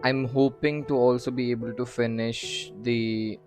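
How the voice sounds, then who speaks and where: A man's voice: mid-range voice, level tone, a little slow, a little mellow. A man chatting casually on a game livestream, thinking aloud in a hesitant, puzzled way. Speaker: game streamer. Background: faint game music.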